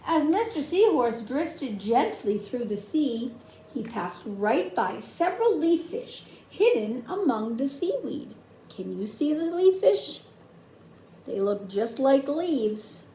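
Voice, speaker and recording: A woman reads a children's story slowly, with a high and lively voice. She speaks straight to young listeners, inviting them into the book. The room adds echo to her voice. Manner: expressive, addressing young listeners with questions. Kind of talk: read-aloud children's story with asides to listeners. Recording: roomy echo, faint background noise.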